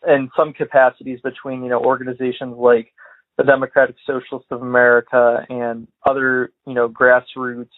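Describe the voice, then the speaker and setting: A man from Illinois leaving a voicemail for a podcast, talking in a measured, hesitant way with lots of fillers. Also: mid-range voice. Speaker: caller. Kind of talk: voicemail commentary. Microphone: phone. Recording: tinny phone-line voicemail.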